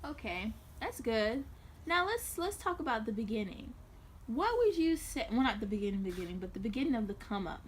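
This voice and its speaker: A woman's voice: on the high side, sing-song, brisk. Easygoing young woman hosting an interview. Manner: easygoing, laughs often, says "um" a lot. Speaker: host. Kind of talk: casual interview. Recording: faint background noise.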